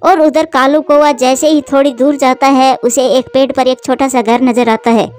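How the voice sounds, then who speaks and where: A woman reads a scripted children's cartoon story as its narrator. Her voice is high, with faint music underneath. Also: fairly even intonation, normal speed, a little mellow.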